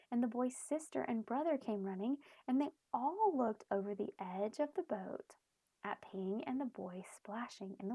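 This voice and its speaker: Husky-voiced woman reading a children's storybook. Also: mid-range voice, no echo. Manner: animated, explains things simply to children. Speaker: children's read-aloud host. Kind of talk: expressive storybook read-aloud with asides to young listeners.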